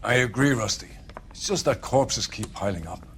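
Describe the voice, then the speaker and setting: An actor playing a weary, authoritative middle-aged police captain in a 1940s crime game. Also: male voice, mid-range voice, animated, moderate pace, fairly bright tone, slight background noise, lightly acted. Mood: concerned, weary.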